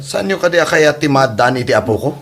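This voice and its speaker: A man's voice: on the high side, lively intonation, a little fast, a bit bright. A man acting as a flustered, henpecked married son in a comedy drama, stammering and pleading hesitantly to keep his mother happy. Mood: pleading, earnest.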